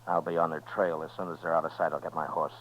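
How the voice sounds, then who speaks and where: An actor playing a steady, wry Old West marshal in a 1950s radio western, with an American accent and a dry, laconic delivery. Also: male voice, a bass voice, fast. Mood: watchful, decisive. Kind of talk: 1950s radio western acting with first-person narration. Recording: muffled 1950s radio recording with music.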